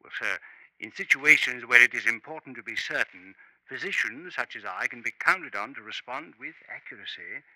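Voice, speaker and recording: An actor playing a genteel, pompous professor with a secret, formal and precise, in a 1950s radio drama. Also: male voice, medium pitch, lively intonation, normal speed, pushed and bright, poor audio. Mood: guarded, pompous. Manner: formal, precise, hesitant in places. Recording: old 1950s radio recording.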